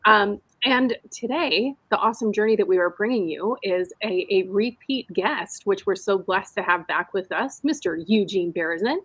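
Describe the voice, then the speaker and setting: A woman's voice: mid-range voice, moderate pace, few pauses, a bit bright, breathy edge, low-quality recording. A woman co-hosting a podcast, introducing a guest in an upbeat way with frequent ums. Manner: upbeat, filler-heavy intro. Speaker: podcast co-host.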